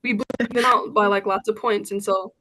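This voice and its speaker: Młoda kobieta opowiadająca przez połączenie wideo. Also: female voice, mid-range voice, normal speed, rough recording. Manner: relaxed, many fillers, storytelling. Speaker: guest, high school basketball player. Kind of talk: casual interview answers. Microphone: video call.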